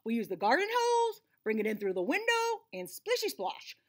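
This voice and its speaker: Woman putting on a booming, excitable imaginary creature. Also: moderate pace. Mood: eager. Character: big jolly pink imaginary creature friend, male, exuberant.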